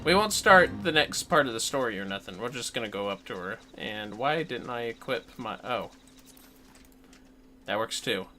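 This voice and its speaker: Low-key man chatting on a gaming livestream. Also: lively intonation, moderate pace, bright, forceful tone, soft background music. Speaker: game livestreamer. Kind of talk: casual gaming livestream chat.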